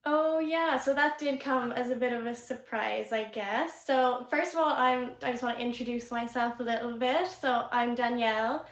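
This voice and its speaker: Friendly young Irish woman, slightly nervous guest, higher voice, roomy lo-fi stream sound. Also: moderate pace, hardly any pauses. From Ireland. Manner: friendly, a little nervous, chatty. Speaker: guest, VIP manager. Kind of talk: casual chat on a livestream.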